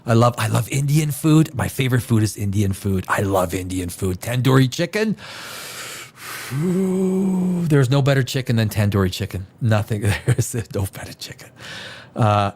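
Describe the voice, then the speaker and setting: A man hosting a casual live stream, his voice a little stuffed up from a cold. He rambles on in friendly chat with the viewers, repeating and restarting his sentences. His pitch rises and falls in a lively way. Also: husky. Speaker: livestream host.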